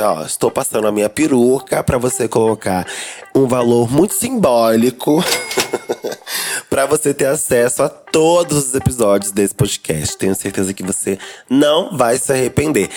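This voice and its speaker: A man with a Brazilian Portuguese accent hosting a podcast in a drag persona, hoarse from a cold, chatting in a campy, joking way. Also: medium pitch, a little mellow. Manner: campy, playful and jokey. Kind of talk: casual podcast chat.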